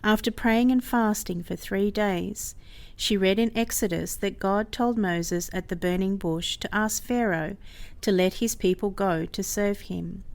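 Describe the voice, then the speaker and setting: Woman narrating a story, medium pitch. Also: unhurried, darkish tone. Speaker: story reader. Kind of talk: scripted narration of a mission story.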